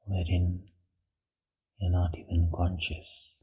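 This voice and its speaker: A man leading a guided meditation in a hushed, breathy voice, with short phrases and long pauses. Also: low voice, monotone.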